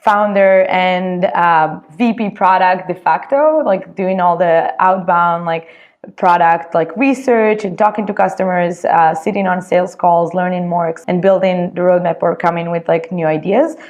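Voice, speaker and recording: A woman's voice: lowish voice, steady tone, moderate pace, darkish tone, dull audio. A woman from Israel with an Israeli accent, a startup co-founder giving casual interview answers, full of "like" fillers and restarts. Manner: chatty, many "like" fillers and restarts.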